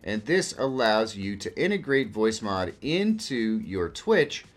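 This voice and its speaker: Low-pitched man giving a casual tutorial. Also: very animated, slow. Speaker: video tutorial host. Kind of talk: casual screen-share software walkthrough. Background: background music.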